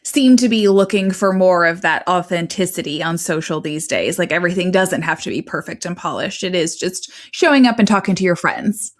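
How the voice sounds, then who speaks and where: A woman's voice: lowish voice, fairly quick, few pauses. A woman hosting a podcast in a casual conversational style, affirming and encouraging her guest. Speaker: podcast host.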